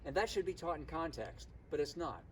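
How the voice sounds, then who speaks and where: Uomo americano anziano, voce piuttosto alta, registrato all'aperto. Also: male voice, fairly high pitch, very animated. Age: older, 60s or above. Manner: emphatic, deliberate, opinionated. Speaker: concerned local citizen at a protest. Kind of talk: impassioned informal public speaking. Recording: outdoor, distant mic, loud traffic noise. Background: vehicles and outdoor ambience.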